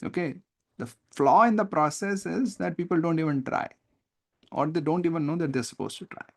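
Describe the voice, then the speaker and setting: Creaky-voiced Indian man teaching. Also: on the high side. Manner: deliberate, emphatic word-by-word stress, rhetorical questions. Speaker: teacher, test-prep coach. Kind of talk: live online lesson, explaining reading comprehension.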